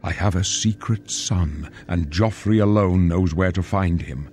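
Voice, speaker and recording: An actor playing a solemn old emperor in a fantasy game, stately, measured and prophetic. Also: male voice, sits low, darkish tone. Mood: solemn, foreboding. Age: older. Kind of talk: grave fantasy game acting.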